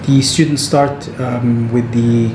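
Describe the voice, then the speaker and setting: A man's voice: mid-range voice, level tone, slow pace. A man working as a training head, explaining procedures in an interview. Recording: echoey room, clear background noise.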